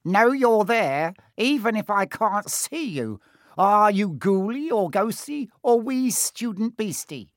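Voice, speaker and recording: A man voicing a wicked, mischievous poltergeist in a storybook, with singsong, fawning, drawn-out phrasing. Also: high voice, very animated, no echo, studio quality, voice pushed up, exaggerated voice. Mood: sly, suspicious.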